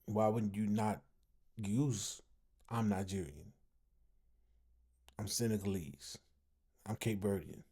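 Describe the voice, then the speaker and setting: A man's voice: fairly low pitch, fairly even intonation, takes it slowly, pauses often, warm tone, slightly rough. A tired American man giving casual, opinionated commentary as a host, emphatic and repeating phrases for emphasis. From United States, family from Texas. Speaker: host commenting on an online discussion.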